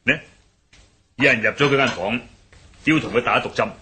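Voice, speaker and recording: An actor playing a loyal, brisk middle-aged gang elder in a radio drama, speaking with a Cantonese accent and a husky voice. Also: male voice, medium pitch, pushed and bright, a little hiss or hum, natural voice. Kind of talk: 1960s-style radio drama acting.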